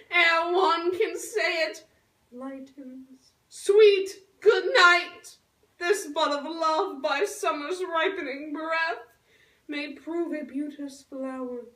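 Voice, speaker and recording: A woman playing a lovestruck, earnest young noble girl in a puppet show, speaking Shakespearean verse in a theatrical, drawn-out way. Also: sits high, a bit bright. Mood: anxious, tender. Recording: roomy echo, distant microphone.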